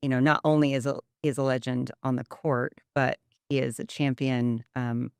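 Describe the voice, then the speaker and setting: A woman guest on a TV interview, praising warmly in halting, gushing phrases. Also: a bass voice, level tone, gravelly, smooth, no room sound, clean studio sound. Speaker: volleyball program guest.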